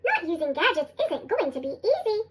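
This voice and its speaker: Gadget-loving little boy played by a story reader, earnest, extremely high chipmunk effect, children's storybook. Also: wildly swooping pitch, brisk, heavily acted. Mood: earnest, reluctant. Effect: sped-up chipmunk voice.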